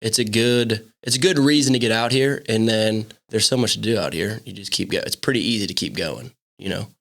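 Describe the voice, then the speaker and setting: Man, 30, from Pennsylvania; relaxed, easygoing podcast guest. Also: on the low side, a little fast, gravelly. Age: 30s. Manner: laid-back, says "dude" a lot. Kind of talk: casual podcast chat.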